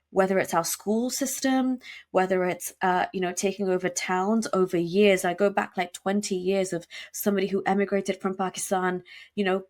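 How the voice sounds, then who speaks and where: Woman guest, emphatic and fast, full of fillers and restarts. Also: on the low side. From United Kingdom. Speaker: podcast guest. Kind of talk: conversational interview.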